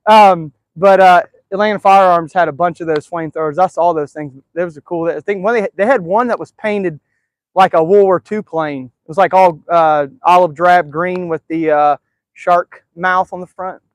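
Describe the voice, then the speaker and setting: High-voiced man rambling on a live stream. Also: moderate pace, dry recording. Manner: rambling, filler-heavy, easygoing. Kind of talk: casual live-stream chat. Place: outdoors in a backyard.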